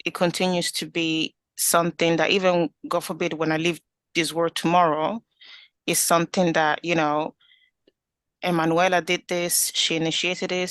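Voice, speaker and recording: A woman's voice: on the low side, a bit bright, clear, resonant tone, no echo. Young Italian-accented woman, earnest and rambling. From Italy. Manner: earnest, rambling with many restarts and fillers. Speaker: interview guest. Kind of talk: personal storytelling in an interview.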